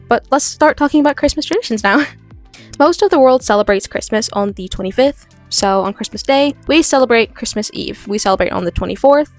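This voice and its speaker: A young woman with an American accent, talking casually and chattily about her drawing and holiday traditions in an art vlog voice-over. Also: medium pitch. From born in the US, raised in Norway. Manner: chatty, laughs often, rambling asides. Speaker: art channel host. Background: soft background music.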